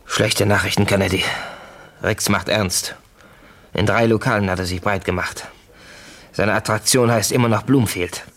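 A calculating syndicate gangster for a vintage radio play. His voice sits fairly low, and he reports coolly in short, matter-of-fact sentences. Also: steady tone, moderate pace, long pauses, fairly bright tone. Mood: cool, grave. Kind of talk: German radio play acting.

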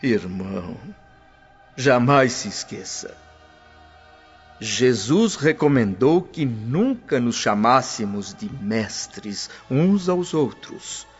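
An actor playing a humble, gentle freed preacher in a radio drama, speaking in unhurried, earnest sermons. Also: male voice, mid-range voice, expressive, slightly rough. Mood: counselling. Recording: dull lo-fi audio with faint music.

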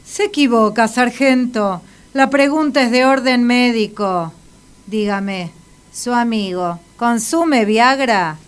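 Righteous, slow-spoken lady doctor. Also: a little high, a little hiss or hum. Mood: firm, formal. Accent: Argentine Spanish. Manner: preachy, measured, formal. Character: indignant, righteous female hospital doctor. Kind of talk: comic radio drama acting.

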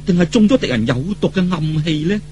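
A man's voice: fairly high pitch, animated, fast, a little mellow, a little hiss or hum, natural voice. A man with a Cantonese accent playing a polite, alarmed woman swordfighter in an audiobook, asking concerned questions.

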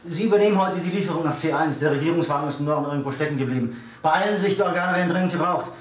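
A man's voice: a little high, very fast, lightly acted. A man in a German radio drama playing a bossy, businesslike dispatcher giving urgent orders. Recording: echoey, distant, faint noise.

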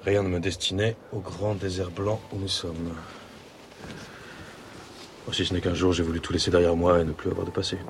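Reticent man with a past, radio drama: deep, flat intonation, subdued, measured, melancholy. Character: reticent, melancholy Parisian male polar researcher. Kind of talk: naturalistic French radio drama acting. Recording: clear ambient noise behind voice.

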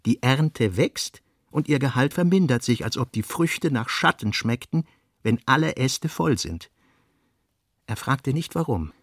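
A man reads literary narration for a radio drama, measured and deliberate. His voice sits in the middle range and is recorded close in a dry studio. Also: mid-range voice, no echo. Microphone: dry studio mic.

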